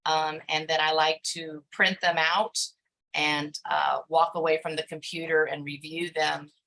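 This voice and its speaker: Bright-voiced woman panelist, halting. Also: deep voice, little pitch movement, gravelly, rough recording. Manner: hesitant, many fillers. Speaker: grant writer, panelist. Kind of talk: panel discussion on video call.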